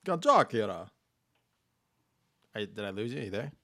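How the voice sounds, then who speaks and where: A laid-back podcast host chats casually and rambles, often laughing. His voice is gravelly and bright, with a dry, close recording. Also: fairly low pitch, sing-song, normal speed, dry recording. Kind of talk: casual chat.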